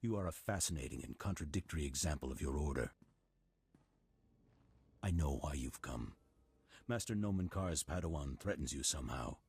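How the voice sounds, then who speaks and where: An actor playing a stern, calm old Jedi master in a video game, husky and speaking in a measured, formal, solemn way. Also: male voice, full character voice. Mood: stern, knowing. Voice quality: very deep, husky.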